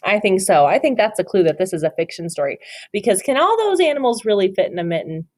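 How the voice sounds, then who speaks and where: Woman reading a storybook to a kid, voice all over the place, gentle and encouraging. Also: mid-range voice, big pitch swings, quick pace. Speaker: teacher reading aloud. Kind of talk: children's read-aloud with questions.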